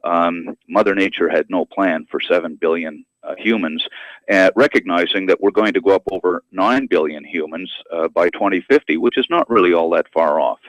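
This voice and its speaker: A man speaking as an expert guest in a radio interview, explaining in a measured, educational manner. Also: sits low, dry recording, poor audio. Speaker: guest expert, university professor and author.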